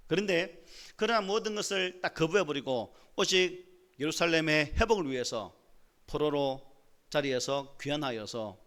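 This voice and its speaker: Sermon-preaching Korean pastor with dialect. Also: male voice, fairly high pitch, fairly bright tone. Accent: Gyeongsang Korean dialect. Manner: rhetorical questions to the congregation, rising to emphatic swells. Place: church sanctuary.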